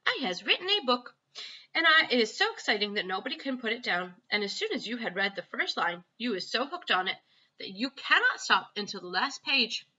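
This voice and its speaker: Lady being a boastful excited kid from a storybook, broken grammar, very sharp bright voice, kinda lo-fi. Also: a little high, brisk, slightly rough, exaggerated voice. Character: excitable boastful child recounting wild dreams, ungrammatical. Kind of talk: children's storybook read-aloud.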